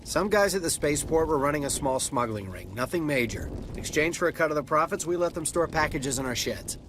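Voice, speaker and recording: An actor playing a plain-spoken, defensive colonist farmer who has survived an attack in a video game. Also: male voice, fairly high pitch, animated, fairly quick, noisy background, lightly acted. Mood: irritated, defensive. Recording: game dialogue over loud outdoor ambience.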